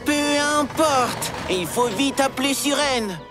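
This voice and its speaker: A no-nonsense dinosaur teammate in a kids' cartoon. The voice sits a bit high. Prominent music plays behind it. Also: female voice, lively intonation, takes it slowly. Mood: impatient. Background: loud cartoon music.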